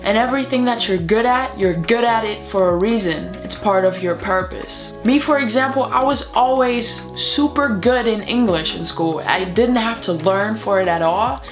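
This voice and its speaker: A woman's voice: medium pitch, normal speed, few pauses, music in the background. A woman from Germany, German her first language, speaking English in an earnest, encouraging motivational vlog. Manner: earnest, encouraging, with soft laughs. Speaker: video creator.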